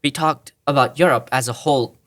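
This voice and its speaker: A man's voice: mid-range voice, fairly even intonation, brisk, fairly bright tone. Tanzanian man explaining things thoughtfully. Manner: thoughtful, with frequent restarts and "you know". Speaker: podcast guest. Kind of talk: explanatory conversational interview.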